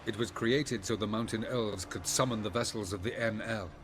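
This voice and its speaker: An actor playing a learned, aloof male elf sage who explains old legends in a measured, slightly condescending way. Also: on the low side, fairly even intonation, noisy background, close to an everyday voice. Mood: lecturing. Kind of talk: video game cutscene acting.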